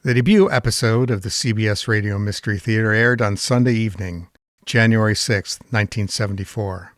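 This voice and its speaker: A man's voice: lowish voice, steady tone, brisk, a bit bright, no room sound, clean studio sound. A man reading a scripted, informative introduction as a series presenter.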